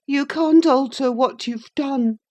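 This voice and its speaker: A woman's voice: on the high side, slow pace, darkish tone, voice pushed up. A woman voicing a sickly, petulant teenage boy in an audiobook, whining and self-pitying. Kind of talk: audiobook character reading.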